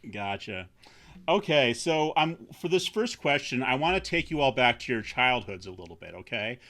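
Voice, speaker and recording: A man hosting a casual roundtable chat over a video call, laid-back and quick to laugh. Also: medium pitch, sing-song, pushed and bright. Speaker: host.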